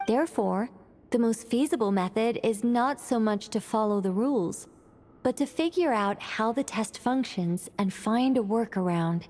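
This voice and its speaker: An actress playing a calm, methodical woman scholar in a video game, speaking in a composed, precise, explanatory manner. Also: a little high, normal speed, natural voice. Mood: explaining. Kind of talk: video game cutscene voice acting.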